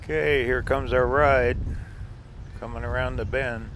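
A man's voice: medium pitch, steady tone, unhurried, background noise. A man narrating a casual travel vlog to the camera, chatty and chuckling often, recorded outdoors and in his car on a camera's built-in mic. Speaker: RV travel vlogger.

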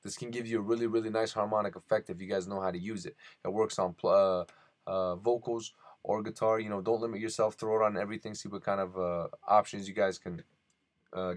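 A man hosting a tutorial, narrating casually and informally as he explains software to his listeners. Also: fairly low pitch, dry recording. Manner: informal, addresses listeners as "you guys". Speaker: tutorial host.

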